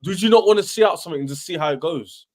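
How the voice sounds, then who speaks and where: A man's voice: fairly high pitch, racing, darkish tone. A man hosting a football discussion, playing devil's advocate. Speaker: host. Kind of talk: heated football debate.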